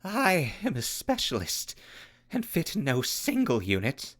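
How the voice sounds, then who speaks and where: A man's voice: medium pitch, huge pitch swings, slow pace, a bit bright, full character voice. A man playing a volatile pyromaniac clown soldier in an audio drama, with grandiose, florid speech and swooping outbursts. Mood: proud.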